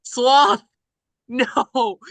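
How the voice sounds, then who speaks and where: A loyal, pleading young boy, played by a woman in a table read. The voice is fairly high and very slow, stretching each word, and it sounds close and dry. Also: a bit bright, no room sound, heavily acted. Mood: desperate.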